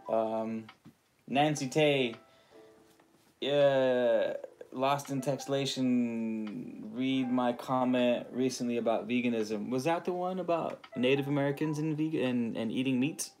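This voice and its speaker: A man's voice: medium pitch, slow, a little mellow, faint music behind. Laid-back man chatting on a livestream. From California. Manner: rambling, laid-back, frequent ums, greets viewers by name. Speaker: livestream host. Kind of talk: casual livestream chat reading viewer comments.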